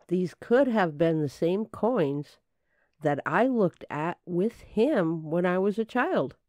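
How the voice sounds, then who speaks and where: A detective's knowledgeable assistant played by a woman in an old-time radio drama. She explains earnestly in a soft-toned, slightly low voice, recorded dry. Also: no echo. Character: Penny, helpful knowledgeable female detective's assistant.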